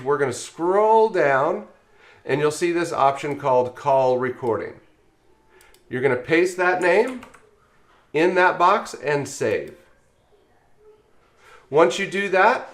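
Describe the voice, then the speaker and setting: A man with a slightly high-pitched voice presents a software training screencast. He explains each step in order, in the instructional style of a tutorial narrator. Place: voice-over on a screen recording.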